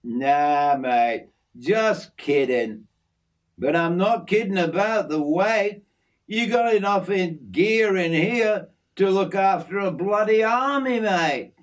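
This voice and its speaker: A man putting on a friendly middle-aged Aussie working bloke, raised a bit above the reader's own pitch, with a broad, slangy, matey drawl. He talks at an unhurried, slow pace, like a storybook father showing off his backyard. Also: expressive. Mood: joking. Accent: Australian. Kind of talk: storybook character voice.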